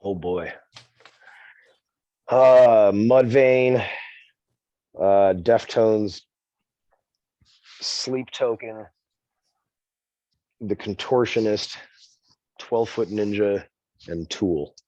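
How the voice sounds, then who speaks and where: Brief: easygoing rock singer, very low voice, muffled and dry recording. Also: male voice, drawn-out words, long pauses, dark tone, no echo. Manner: easygoing, rambling, calls the host "man" and "dude". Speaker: band singer. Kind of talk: casual interview talk. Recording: dull, dry sound.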